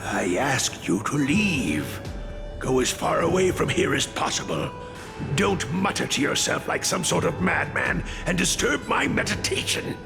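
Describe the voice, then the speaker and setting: A man's voice: fairly high pitch, big pitch swings, unhurried, vocal fry, exaggerated voice. An actor voicing a haughty, zealous male priest who speaks with stern contempt and threats, in a video game with music behind. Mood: irritated.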